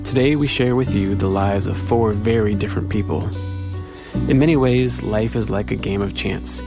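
A man's voice: fairly low pitch, moderate pace, over music. A man hosting a radio program with scripted narration.

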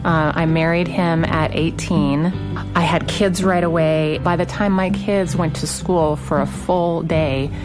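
A woman's voice: sits low, fairly even intonation, a little slow, over music, rough recording. A woman from California telling personal stories in a reflective way. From California, United States. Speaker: interviewee. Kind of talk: personal storytelling.

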